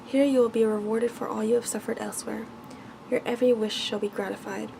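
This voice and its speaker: Young fairy-tale prince, tender and solemn, read by a woman, soft ASMR, higher register with fry. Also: flat delivery, fairly quick, noisy background. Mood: tender, pleading. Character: handsome young prince, tender, pleading and noble.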